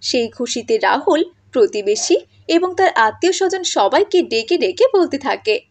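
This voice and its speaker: Very high-pitched woman storyteller. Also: big pitch swings, moderate pace. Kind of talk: scripted narration of a cartoon moral story.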